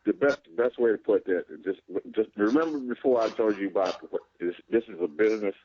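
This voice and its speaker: A man's voice: fairly low pitch, fairly quick, slight background noise. A man, a boxing trainer, answering interview questions plainly and repeating words as he goes. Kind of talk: interview answers over a call. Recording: dry muffled call audio.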